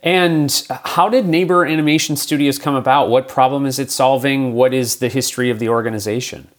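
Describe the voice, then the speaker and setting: A man's voice: mid-range voice, steady tone, fairly quick. Friendly male podcast host. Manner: friendly, loose, with fillers and small stumbles. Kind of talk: casual interview hosting.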